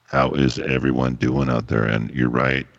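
A man with an extremely deep voice and a gravelly fry runs through viewer posts in a relaxed, agreeable way. The sound is dull and lo-fi. He chats casually as a stream co-host. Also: normal speed. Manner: laid-back, agreeable, reads chat aloud.

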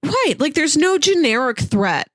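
A woman's voice: high-pitched, very animated, unhurried, a bit bright, no echo, studio quality. A woman co-hosting a podcast and chatting casually about comics, enthusiastic and laughing often. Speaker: podcast co-host.

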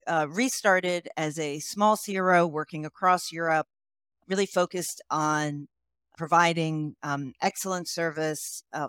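A woman in her forties with an American accent, a company CEO answering interview questions in a measured way, often pausing with "um". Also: low voice, fairly bright tone, clear, resonant tone, dry recording, clean studio sound. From United States. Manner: measured, many ums while thinking. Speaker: CEO guest. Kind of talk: podcast interview.

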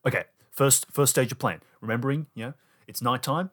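A man's voice: medium pitch, fairly quick, a bit bright, natural voice. A man improvising a rambling, oblivious hiker who over-explains every procedure in an audio roleplay. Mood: earnest, planning.

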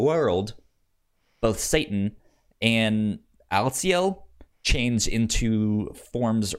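A man co-hosting a podcast in casual chat, reading out pitches and often stammering over words as he reads aloud. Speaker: podcast co-host.

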